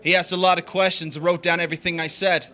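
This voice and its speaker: An actor playing an uneasy, guilt-ridden drifter in a noir stage drama, confessing his crime in a halting way. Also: high-pitched, little pitch movement, bright, forceful tone. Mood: grim, recounting. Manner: hesitant, halting in dialogue.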